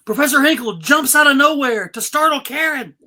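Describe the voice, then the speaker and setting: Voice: high-pitched, expressive, fairly quick, crowd noise. A performer reading out scene directions from a script, with casual asides at a table read. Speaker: reader of stage directions.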